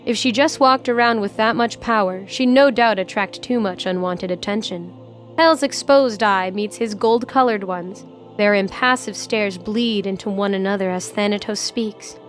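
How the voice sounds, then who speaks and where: A woman narrating a scripted story for an audio drama. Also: a little high.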